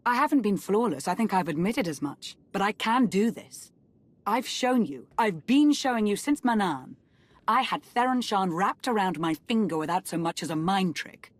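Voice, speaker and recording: An actress playing a composed, dutiful Sith intelligence chief in a video game, proud yet self-reproachful, speaking formally and earnestly. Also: female voice, mid-range voice, expressive, moderate pace, no echo, natural voice. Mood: indignant, defensive. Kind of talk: dramatic video game cutscene acting.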